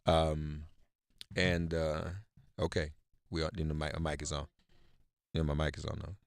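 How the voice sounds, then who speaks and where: A man hosting a live political call-in radio show, halting and emphatic, repeating words for stress as he reads news and talks with callers. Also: rumbling low, completely flat delivery, smooth, no room sound, clean studio sound.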